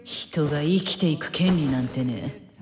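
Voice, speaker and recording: An actress playing a brash, cynical woman with blunt, mocking, rough speech in an anime game cutscene. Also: deep voice, unhurried, darkish tone. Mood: stern, cynical. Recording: long reverb, music behind.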